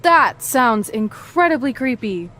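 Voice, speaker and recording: A woman's voice: on the high side, lively intonation, a little slow, sharp tone. A woman playing a confident, dry-witted pro wrestler with a sardonic, casual manner in a video game scene. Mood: teasing.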